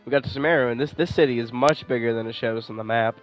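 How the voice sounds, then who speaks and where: A man's voice: mid-range voice, fast, rough recording. A man doing casual, rambling gameplay commentary, thinking aloud and swearing now and then. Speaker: gaming streamer playing and commenting. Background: game music.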